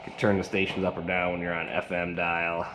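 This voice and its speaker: Very deep-voiced man, casual gadget reviewer. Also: completely flat delivery, fairly quick. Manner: rambling, colloquial, folksy slang. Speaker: product reviewer. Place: indoors. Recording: echoey room with loud noise.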